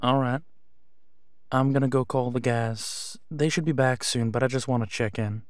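A man plays a steady, caring werewolf leader in an audio roleplay. His voice is medium in pitch, with a casual, folksy reassurance. The sound is dry, with no room to it. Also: normal speed, no room sound, lightly acted. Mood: concerned, tender. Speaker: voice actor.

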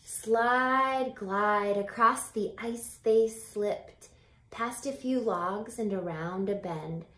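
A woman in her thirties reads a picture book to young children. Her delivery is slow and expressive, with drawn-out words and little asides to the listeners. The recording has the roomy echo of a camera mic in a home. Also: mid-range voice. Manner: animated, deliberate, drawn-out phrasing with hushed whispers. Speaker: teacher reading a picture book aloud. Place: small home room by a window.

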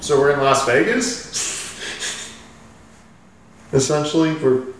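A man hosting a let's play, chatting casually, exclaiming excitedly and reading game text aloud. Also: a little high, takes it slowly, a little hiss or hum. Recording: echoey room, far from mic, game music. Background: game music.

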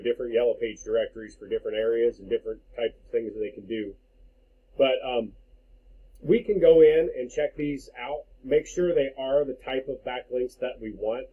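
A man's voice: medium pitch, husky, phone-quality sound. A man giving an informal, plain-spoken screen-share walkthrough to a client as a marketing consultant, explaining things conversationally.

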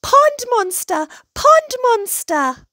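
A woman's voice: extremely high pitch, huge pitch swings, takes it slowly, no room sound, clean studio sound, pitched above the performer's own voice, exaggerated voice. A woman voicing a friendly, sensible but easily startled hedgehog in a storybook. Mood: panicked.

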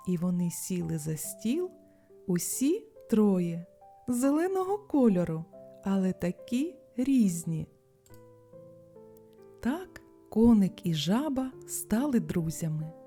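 A woman's voice: medium pitch, dark tone. A woman storyteller narrating a bedtime fairy tale expressively for children. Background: music.

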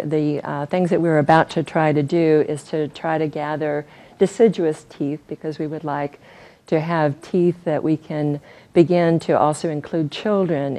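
An older woman presenting research to an audience in a conference room, soft-spoken and using fillers. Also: low-pitched. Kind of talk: scientific talk at a reception.

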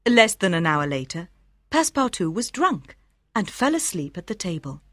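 A woman reading scripted storybook narration for language learners, with careful, expressive enunciation. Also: fairly low pitch, moderate pace, dry recording, studio quality. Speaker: audiobook narrator.

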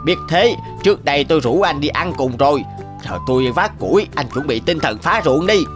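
Sly, gluttonous cartoon tanuki played by a man, whiny and muttering, lively swooping pitch. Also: a little fast, over music, lightly acted. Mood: scheming. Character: sly greedy thieving tanuki, gluttonous and wheedling. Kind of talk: animated cartoon dialogue.

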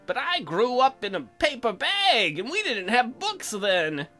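A man's voice: very high-pitched, sing-song, unhurried, pushed and bright, soft background music. A man giving comedic let's play commentary, reading game text aloud in a goofy, crude, improvised way. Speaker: gaming video host.

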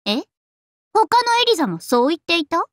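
Super high girly anime game dragon princess, vain and playful, voice swooping everywhere, dry recording. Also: big pitch swings, moderate pace, no room sound. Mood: smug. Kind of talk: Japanese anime game character voice lines.

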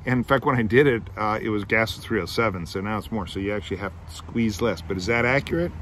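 A man's voice: fairly low pitch, fast, a bit bright, slight background noise. A middle-aged man, filmed outdoors on a phone, explaining a class assignment in a rambling way, restarting his sentences and thinking aloud. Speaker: teacher. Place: outdoors among trees. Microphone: phone camera at arm's length.

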